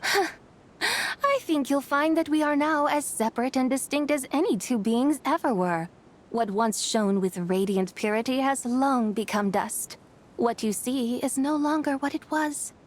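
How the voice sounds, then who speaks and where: Haughty, high-voiced immortal horse spirit. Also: female voice, measured pace, a little hiss or hum. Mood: cold, dismissive. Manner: theatrical, haughty, archly formal. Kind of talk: scripted video game voice acting. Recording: music under the voice.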